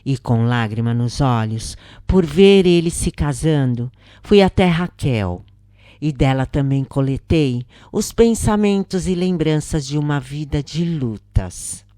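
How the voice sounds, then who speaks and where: A Brazilian woman reading a scripted spiritual account as narrator, in a solemn, measured manner. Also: low voice, animated, mellow, no echo, clean studio sound.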